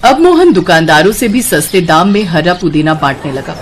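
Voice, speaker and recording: A woman narrating a scripted children's moral story in an expressive storybook style. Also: lowish voice, normal speed, slight background noise.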